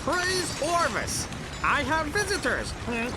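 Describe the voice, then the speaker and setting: A man's voice: very high-pitched, takes it slowly, noisy background, exaggerated voice. An actor playing an eager old male alien villager who loves telling war stories. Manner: excitable, rambling storyteller. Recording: game audio over loud music.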